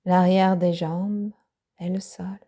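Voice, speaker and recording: A woman yoga teacher guiding a yoga nidra relaxation, speaking softly with breathy, half-whispered phrases. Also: fairly low pitch, level tone. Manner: slow, soft-spoken guidance with breathy, half-whispered phrases.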